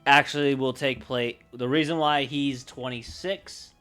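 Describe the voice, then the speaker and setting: A man livestreaming casually, thinking aloud in a halting, unscripted way as he works through ideas. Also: mid-range voice, steady tone, fairly bright tone, soft background music. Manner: halting, pausing to think and correct himself. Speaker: livestreamer brainstorming a roleplaying campaign.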